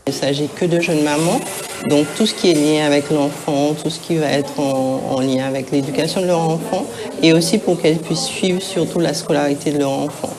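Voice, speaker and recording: Deep-voiced woman in noisy surroundings. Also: fairly even intonation, fairly quick. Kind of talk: interview explanation. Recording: noisy room ambience on location.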